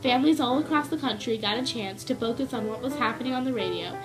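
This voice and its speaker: A woman's voice: on the high side, quick pace, music in the background, low-quality recording. A woman reading scripted educational narration.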